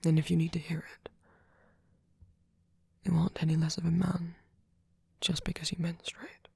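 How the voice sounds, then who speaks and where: A man playing a sweet, gentle vampire boyfriend in an ASMR comfort roleplay, speaking haltingly in a breathy, husky whisper. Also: on the high side, level tone, dry recording, exaggerated voice. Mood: tender, reassuring.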